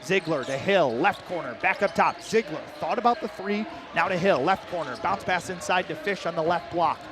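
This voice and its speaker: A male radio play-by-play announcer with a high-pitched voice calls a live basketball game. He describes the action in short, clipped, rapid-fire phrases, following the ball from spot to spot.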